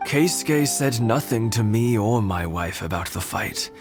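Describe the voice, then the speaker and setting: An actor playing a kindly old husband in a game, telling his story unhurriedly, with soft chuckles. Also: on the low side, lively intonation, unhurried. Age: older. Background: game music.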